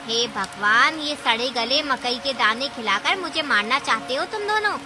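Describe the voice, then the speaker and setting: A woman's voice: sits high, animated, normal speed, over music. A woman playing a greedy, scheming, easily panicked aunt animal in a cartoon story, with theatrical scolding exclamations. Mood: angry, scolding. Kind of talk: Hindi cartoon story acting.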